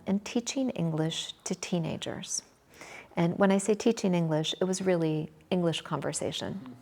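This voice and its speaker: An American woman in her forties from Michigan, answering interview questions in a measured, thoughtful way with frequent pauses. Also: lowish voice, vocal fry, darkish tone. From Michigan, USA. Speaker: interview guest, volunteer. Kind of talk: conversational interview.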